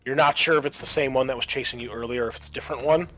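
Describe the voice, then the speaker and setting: A man narrating a tabletop roleplaying game as game master, explaining things in a halting way. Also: medium pitch, steady tone, fairly bright tone, soft background music.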